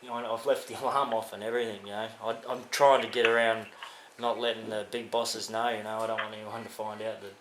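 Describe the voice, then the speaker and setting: A man's voice: on the low side, flat delivery, quick pace. Blokey Australian man in an echoey room. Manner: blokey, matey, rambling. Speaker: prank caller. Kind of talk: casual phone call chat. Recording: roomy, faintly noisy, echoing phone-call recording.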